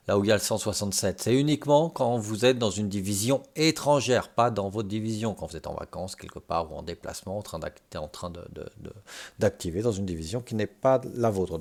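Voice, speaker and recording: Low-voiced man giving a software tutorial. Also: animated, a little fast. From Brittany, France. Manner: explanatory, checks in with short tags. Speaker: tutorial presenter. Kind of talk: informal step-by-step software tutorial.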